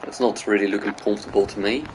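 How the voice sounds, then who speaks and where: A man's voice: fairly low pitch, fairly even intonation, fast talker, background noise. A man from the Netherlands and Greece, hosting a gaming video and thinking aloud in loose, rambling casual commentary.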